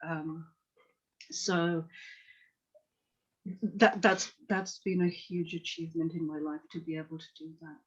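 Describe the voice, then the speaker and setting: Earnest woman from Tanzania, flat delivery. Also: low-pitched, unhurried, pauses often, breathy edge. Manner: earnest, reflective, many restarts and "you know". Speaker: psychotherapist guest. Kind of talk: podcast interview storytelling. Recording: dull lo-fi call audio.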